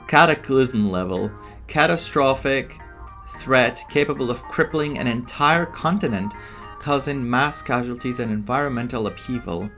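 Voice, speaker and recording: A man's voice: medium pitch, a bit bright. Man doing game commentary over music. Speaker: gameplay streamer reading and reacting. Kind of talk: casual playthrough commentary with reading aloud. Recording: music playing behind the voice. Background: game music with guitar.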